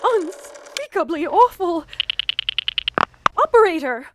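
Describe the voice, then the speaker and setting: An actress playing a nervous, demanding invalid wife in a suspense radio drama, with an imperious, agitated manner. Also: female voice, very high-pitched, very animated, takes it slowly, heavy background noise, exaggerated voice. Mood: horrified, frantic.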